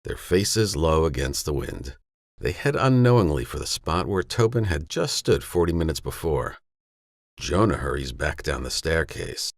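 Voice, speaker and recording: A man narrating a western novella as an audiobook, in a measured, grave storytelling manner. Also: sits low, vocal fry, no room sound, studio quality.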